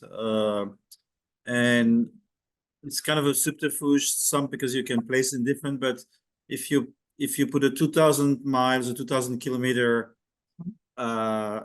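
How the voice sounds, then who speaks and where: Hesitant older man from Belgium. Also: mid-range voice. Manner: hesitant, many fillers and restarts. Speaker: guest speaker. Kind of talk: reflective lecture talk. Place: home in Thailand, video call.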